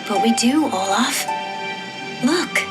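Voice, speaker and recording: High, animated young princess. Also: female voice, takes it slowly, loud music behind the voice. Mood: tender. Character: warm, earnest young princess sisters in animated storybook. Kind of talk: animated storybook dialogue.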